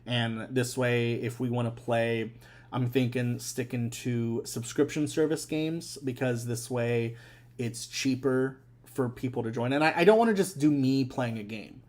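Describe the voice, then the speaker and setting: A man's voice: medium pitch, measured pace, darkish tone. Rambling young man hosting a stream. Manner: rambling, many fillers and restarts. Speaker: streamer and host. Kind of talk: casual live-stream chat.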